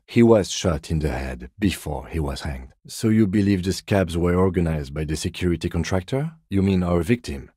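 A man voicing a composed, methodical police detective in a video game, speaking in a measured, formal, understated way. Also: deep voice, dark tone, husky, no echo, clean studio sound. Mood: dry, businesslike. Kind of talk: video game character dialogue.

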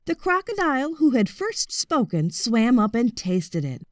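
Woman, children's audiobook narrator, lively intonation, expressive, measured delivery, dry studio-like sound. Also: on the high side, no echo.

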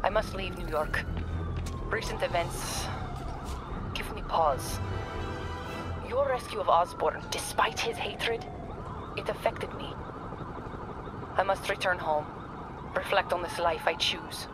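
A woman playing a stern mercenary leader in a video game, speaking formally and solemnly, with quiet regret. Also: fairly low pitch, takes it slowly, pauses often, over music. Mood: solemn, regretful. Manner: formal, clipped, solemn phrasing.

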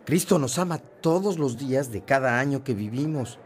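An actor playing a warm-hearted, earnest young seminarian in a radio drama, with a persuasive, urging manner. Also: male voice, medium pitch, lively intonation, moderate pace, a little hiss or hum, natural voice.

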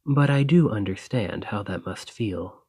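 A man playing a devoted, gentle boyfriend in a romantic ASMR roleplay, soft and husky, with a tender, reassuring manner. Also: on the low side, animated, measured pace, no echo, studio quality. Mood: gentle, confiding.

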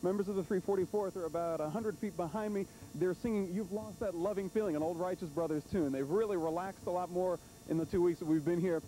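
Male TV reporter, thirties, high and fast, live on location, slight background hiss. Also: dark tone, rough recording. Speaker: TV field reporter. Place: outdoors at an army base, on location. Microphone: handheld reporter microphone. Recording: faint hiss and rumble, old TV broadcast.

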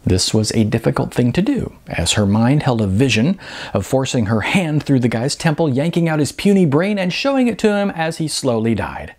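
A middle-aged man reading his novel aloud as an author, in an animated, emphatic audiobook storytelling style. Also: mid-range voice, normal speed. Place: small furnished room indoors.

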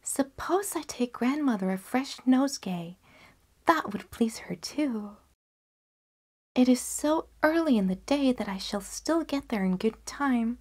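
A woman's voice: on the high side, expressive, unhurried, darkish tone, voice pushed up. A woman voicing a sweet, trusting little girl in a fairy tale, speaking politely and innocently.

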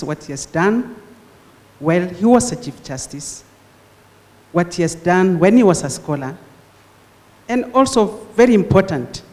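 An older woman speaking into a handheld microphone in a large lecture hall, hosting a conference and reflecting before she introduces the speaker in a measured, formal way. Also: sits low, darkish tone. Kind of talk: formal public address. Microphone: handheld mic. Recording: long hall reverb.

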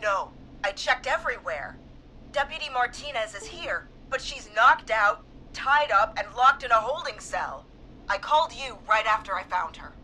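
A woman's voice: a little high, lively intonation, normal speed, pushed and bright, a little hiss or hum, rough recording. A woman playing a frightened but determined police dispatcher in a video game. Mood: shaken.

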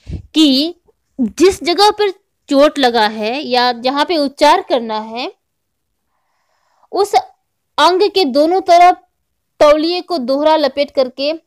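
Indian female tutor, high voice, didactic exam-prep delivery with repeated key points, very dry close recording. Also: no echo. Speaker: online physical education teacher. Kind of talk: explanatory exam-prep lecture.

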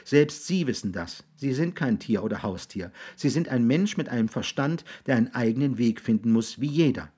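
A man's voice: medium pitch, lively intonation, moderate pace, few pauses, husky, pitched above the performer's own voice. A man voicing earnest young boys in an audiobook, solemn and patiently insistent. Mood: solemn, innocent. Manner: patient, gently insistent explaining.

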